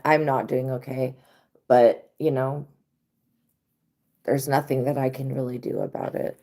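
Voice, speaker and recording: A woman with an unusually deep, hoarse voice, rough from having lost it. She talks bluntly and swears freely in a loose, unscripted live-stream monologue, speaking close to a home mic. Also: mellow. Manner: blunt, profane venting. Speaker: tarot reader hosting a live stream.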